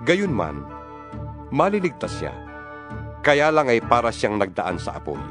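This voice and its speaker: A man giving a solemn, expressive dramatized reading of scripture, his pitch swinging widely. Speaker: Bible reader. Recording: reading over guitar music.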